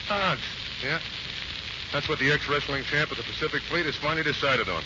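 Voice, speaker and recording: A man's voice: fairly high pitch, a little fast, lightly acted. A man acting a brash, boastful ex-wrestler Marine in a 1940s radio drama, with slangy streetwise talk. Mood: dreamy boasting. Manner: boisterous, wisecracking. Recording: noisy, muffled 1940s radio recording.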